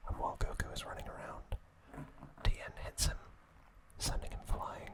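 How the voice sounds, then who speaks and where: An ASMR host narrating trivia in a soft, unvoiced whisper, sex indistinct. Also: normal speed.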